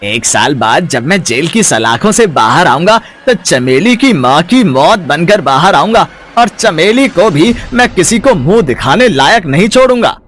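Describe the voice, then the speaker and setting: An actor playing a shameless, lecherous young village villain in a storybook audio drama, with a leering, taunting way of talking. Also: male voice, fairly high pitch, sing-song, a little slow, hardly any pauses, fairly bright tone, exaggerated voice. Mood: vengeful. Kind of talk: acted audio story dialogue.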